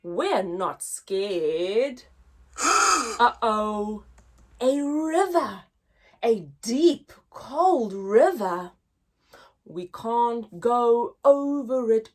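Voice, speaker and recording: High, very animated woman storyteller. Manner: expressive, sing-song, drawn-out words with dramatic gasps. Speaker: storyteller, teacher reading to young children. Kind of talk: animated read-aloud picture book chant for young children.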